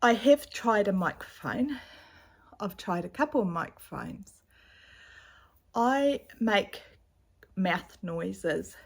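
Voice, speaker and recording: A middle-aged woman with a slightly croaky voice, chatting casually at home about her cross-stitch projects with a rambling, chatty manner, recorded on a camera's built-in mic. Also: medium pitch, expressive, slow pace, pauses often. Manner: chatty, rambling, with fillers and asides. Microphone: camera's built-in mic, no external microphone.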